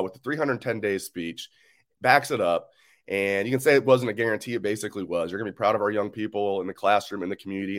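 A man hosts his own sports show in a relaxed solo talk. His voice sits mid-range and is a little scratchy. He rambles, adds asides, and argues his opinions with a fan's enthusiasm. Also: medium pitch.

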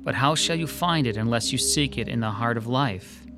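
A man's voice: mid-range voice, normal speed, music in the background, close to an everyday voice. An actor playing a polite, slightly hesitant male sketch artist in a radio drama. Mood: reading aloud.

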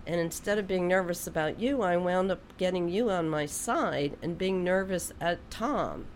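A woman's voice: fairly low pitch, moderate pace, a little hiss or hum. A woman hosting a radio interview in a studio, chatty and rambling, often restarting her sentences. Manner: rambling, chatty, self-deprecating, with frequent restarts. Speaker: radio host. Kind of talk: casual interview chat.

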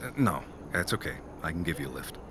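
A man playing a subdued, halting, unsure young character who just lost a friend, in a naturalistic audio drama. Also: a bass voice, sing-song, background noise. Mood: dazed, hesitant.

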